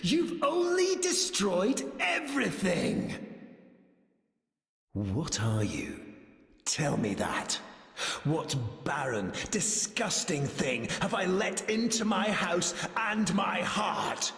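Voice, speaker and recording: An actor playing a cruel, possessive and grandiose villain in a video game, speaking theatrically and with menace, like a wounded lover. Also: male voice, sits high, very animated, slow pace, faint music behind, heavily acted. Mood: bitter, raging. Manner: theatrical, menacing, swinging from hushed to bellowing. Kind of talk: dramatic video game voice acting. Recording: echoing hall-like reverb.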